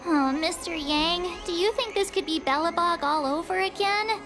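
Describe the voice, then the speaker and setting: A teenage girl adventurer in a fantasy game, perky and easily flustered. Her voice is high, and she talks in a blunt, informal, chatty way. Music runs clearly underneath. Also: moderate pace. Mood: curious, uneasy. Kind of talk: video game voice acting. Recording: music behind the voice.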